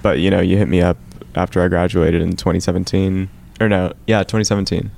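A man's voice: low-pitched, little pitch movement, quick pace, vocal fry, darkish tone. A young man in his early twenties, a band's drummer, chatting casually and correcting himself as he goes. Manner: rambling, self-correcting. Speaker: band drummer. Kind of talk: casual chat.

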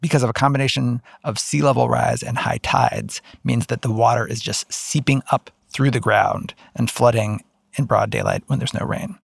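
A man co-hosting, talking conversationally as he sets up a story, with a gravelly, slightly husky voice. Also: medium pitch, fairly even intonation, moderate pace, dry recording, clean studio sound. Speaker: podcast co-host.

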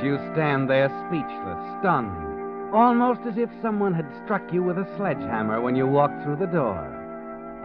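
A man voicing an ominous narrator who talks to the killer in second person, in a 1940s radio drama. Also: mid-range voice. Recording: muffled old radio broadcast with music.

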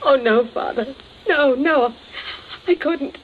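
An actress playing a distraught, gentle young woman, halting and near hysteria, in 1940s radio suspense style. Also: sits high, slight background noise. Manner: halting, fragile, hysterical edge. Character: gentle, distraught young English daughter under strain. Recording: muffled 1940s radio recording.

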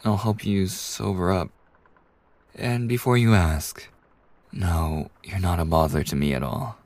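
A man playing a kind, polite young bartender in an ASMR roleplay, speaking softly and breathily in a courteous, soothing way with hesitant pauses. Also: sits low. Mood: soothing, attentive. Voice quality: soft, breathy. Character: kind, polite young male bartender, gently attentive university student. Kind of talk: ASMR roleplay, soft intimate scripted acting.

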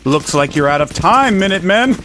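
An actor playing a menacing, dim-witted raider boss in a comic radio skit. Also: male voice, a little high, fairly bright tone, exaggerated voice.

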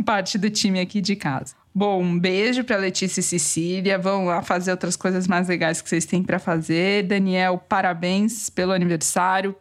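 A Brazilian woman co-hosting a podcast from home, reading listener emails aloud and teasing her co-hosts with a laugh. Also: mid-range voice. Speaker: podcast co-host. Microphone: remote home mic.

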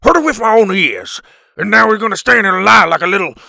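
A man voicing a furious, filthy country doctor, rough and gravelly, blustering in folksy backwoods grammar for a storybook. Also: high voice, animated, brisk, bright, forceful tone, dry recording, studio quality, voice pushed up, heavily acted. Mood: angry, accusing. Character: filthy, furious, wild-haired old country doctor caveman.

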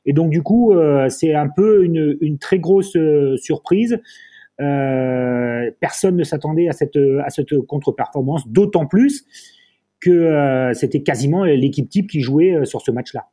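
A man whose first language is German, a football pundit guest in a casual podcast discussion, speaking haltingly and often restarting and repeating words. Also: fairly high pitch, dark tone, husky.

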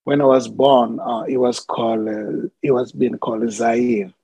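A man from the Democratic Republic of Congo, a podcast guest telling his life story in a halting way, with many filler sounds. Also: medium pitch, fairly even intonation, vocal fry, dark tone, slightly rough, dry recording, low-quality recording.

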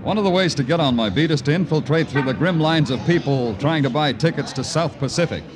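An American actor playing a world-weary, tender-hearted city detective, narrating in a poetic, hard-boiled old radio crime drama style. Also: male voice, a little high, expressive, a little fast, natural voice. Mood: brooding. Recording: old radio recording with music.

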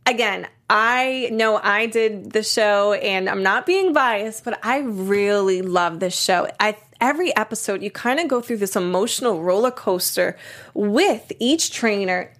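A woman's voice: medium pitch, unhurried, fairly bright tone. A woman from Boston with an American accent, chatting casually and telling stories with lots of "you know" and "like". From Boston, United States. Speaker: fitness trainer and TV show participant. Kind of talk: casual chatty show recap.

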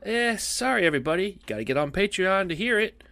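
A man's voice: sits high, expressive, fast, gravelly, bright, forceful tone, no echo. A male podcast host with a cough, chatting casually in a rambling, jokey way that keeps repeating itself. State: coughing, losing his voice.

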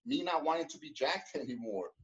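A man's voice: fairly high pitch, lively intonation, brisk, a bit bright, lo-fi sound. Laid-back man recounting his past. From Los Angeles. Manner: laid-back street slang, many fillers and restarts. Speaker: interview guest, former gang member. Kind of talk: casual interview storytelling.

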